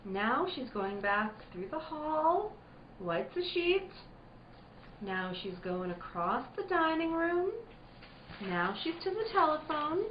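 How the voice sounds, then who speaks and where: A woman's voice: high-pitched, big pitch swings, slow, full character voice. An actress playing a haunted, fey young country girl in an old-time radio drama, with eerie, folksy, incantatory speech. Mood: eerie, trancelike. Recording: dull, echoey recording with background noise.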